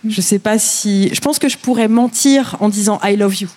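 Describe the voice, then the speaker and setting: French-born woman guest chatting, mid pitch, halting, thinking out loud. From France. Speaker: guest musician. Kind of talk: casual discussion.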